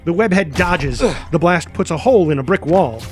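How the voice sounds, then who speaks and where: Guy narrating superhero script, highish voice, punchy n energetic, light music under. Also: normal speed. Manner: energetic, punchy sound-effect words. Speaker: narrator reading stage directions.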